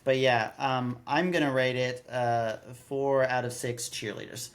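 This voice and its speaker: A man co-hosting a casual review podcast. He speaks at an average male pitch and feels his way through sentences, doubling back on words as he goes. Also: fairly even intonation. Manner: halting, repeats words as he thinks. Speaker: podcast co-host.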